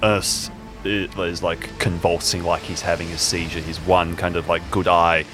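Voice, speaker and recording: A man narrating his character's actions in an improvised audio drama, casually and haltingly, feeling out each description. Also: deep voice, little pitch movement, over music. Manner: casual, groping descriptions full of "like".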